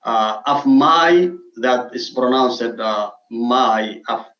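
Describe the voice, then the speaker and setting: A man's voice: mid-range voice, slow, fairly bright tone. Male teacher, hesitant delivery, roomy and dull audio. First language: Somali. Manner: hesitant, many fillers. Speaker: guest language instructor. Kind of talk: explanatory conversation.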